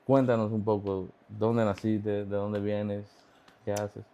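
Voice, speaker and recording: A man's voice: on the low side, little pitch movement, takes it slowly, mellow, lo-fi sound. A man hosting a faith podcast, greeting viewers and asking questions with short affirmations. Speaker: podcast host. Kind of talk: interview hosting.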